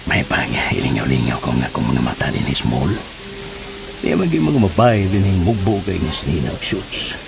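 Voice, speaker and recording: An actor playing a leering, flustered older Filipino uncle in a radio drama, stammering, hemming and exclaiming. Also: male voice, extremely low pitch, lively intonation, normal speed, creaky, over music, heavily acted. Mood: sly, musing.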